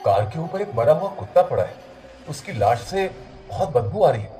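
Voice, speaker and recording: Man playing a mellow-voiced, worried family father. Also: fairly high pitch, big pitch swings, normal speed. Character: worried, impatient middle-aged family father.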